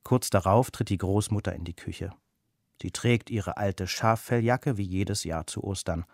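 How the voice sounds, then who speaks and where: A man's voice: lowish voice, measured pace, vocal fry, a little mellow, no room sound, clean studio sound. A man narrating a children's story in a scripted storybook style.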